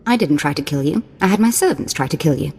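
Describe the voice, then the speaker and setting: A woman's voice: deep voice, animated, quick pace, darkish tone, breathy edge, long reverb tail, exaggerated voice. A woman voicing a playful, cruel young female Sith lord in a video game, with a mocking, theatrical, sing-song delivery. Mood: calm.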